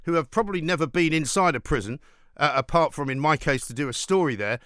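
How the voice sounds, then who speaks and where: A man's voice: fairly high pitch, expressive, fast, a bit bright, no echo, clean studio sound. A British man hosting a talk radio show, giving opinions in an emphatic, sardonic, rhetorical way.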